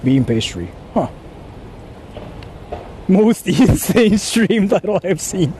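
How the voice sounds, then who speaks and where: A man from Japan with a fairly high voice talks casually to his livestream audience. He speaks in informal slang and often repeats his phrases. Clear city and vehicle noise surrounds him outdoors. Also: sing-song, slow, dark tone. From Japan (Ichihara). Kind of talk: casual livestream chat to viewers. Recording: noisy urban street with traffic.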